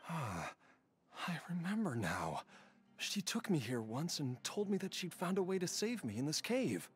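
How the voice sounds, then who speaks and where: A man voicing a troubled young man who is losing his memory, speaking in anxious, halting bursts with sighs and whispers. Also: fairly high pitch, very animated. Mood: frantic. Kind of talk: video game voice acting. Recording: game audio with soft music.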